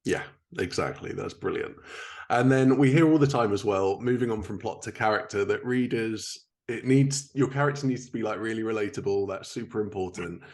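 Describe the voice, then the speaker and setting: Low-voiced man hosting an interview. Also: brisk, a little mellow. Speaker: podcast host. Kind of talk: casual interview.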